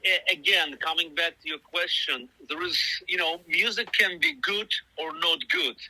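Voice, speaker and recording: Bright-voiced man with a Russian first language. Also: fairly high pitch, normal speed, no echo, low-quality recording. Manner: thoughtful, rambling, many fillers and restarts. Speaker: musician guest. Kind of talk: casual interview answers.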